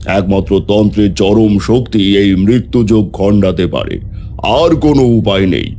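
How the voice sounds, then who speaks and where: A man's voice: fairly low pitch, measured pace, dark tone, slight background noise. A man voicing a grave, boastful tantric holy man who speaks portentously in a horror cartoon. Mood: ominous, commanding. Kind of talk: dramatic horror cartoon voice acting.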